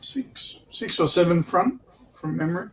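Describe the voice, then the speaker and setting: A man's voice: high voice, a little slow, a bit bright, a little hiss or hum. A man on a livestream panel, chatting casually about a hobby.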